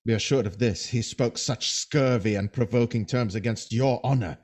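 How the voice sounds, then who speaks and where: A man's voice: mid-range voice, moderate pace, darkish tone, lightly acted. A man playing a resentful, scheming soldier with theatrical delivery in a Shakespearean audio drama. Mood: urgent warning. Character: bitter, scheming male soldier plotting against his master.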